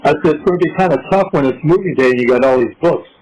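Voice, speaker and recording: A man's voice: a little high, racing, darkish tone. A middle-aged or older man chatting casually on a muffled, noisy recorded phone call. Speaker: father of an inmate, on a call.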